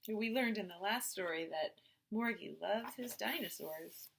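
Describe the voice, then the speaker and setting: A woman teacher reading a picture book aloud to young children in an expressive storytelling style. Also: medium pitch, creaky, breathy edge. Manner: slow, expressive, addressing children directly.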